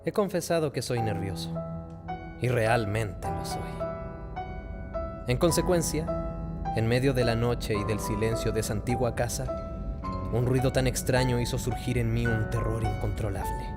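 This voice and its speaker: A man playing a nervous, obsessive madman confessing a murder in a theatrical, deliberate radio play monologue. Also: mid-range voice, over loud music, natural voice. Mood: defensive confiding, then agitated, dread. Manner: theatrical, deliberate, halting phrasing. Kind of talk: dramatic radio play monologue, gothic horror narration.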